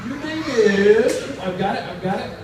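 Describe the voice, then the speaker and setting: A man's voice: high-pitched, lively intonation, moderate pace. A man hosting a live children's magic show, with theatrical, repetitive, teasing patter to his audience. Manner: repeats phrases, teasing build-ups to the audience. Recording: echoey, noisy, distant live recording.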